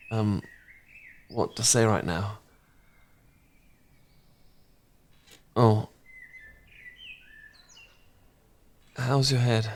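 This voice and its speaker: A man acting as a tender, bashful housemate in an ASMR romance drama. He has a mid-pitched voice and talks softly and intimately, with a halting, smitten manner. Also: close to an everyday voice. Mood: tender, relieved. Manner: halting, gentle, intimate, flustered.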